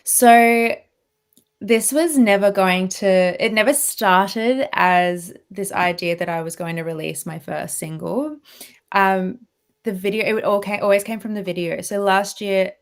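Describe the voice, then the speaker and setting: A woman's voice: medium pitch, fairly even intonation, measured pace. A woman guest on a radio interview, talking in a chatty way with frequent laughs and restarts. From Australia. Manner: chatty, laughs often, many restarts and fillers. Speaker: guest violinist.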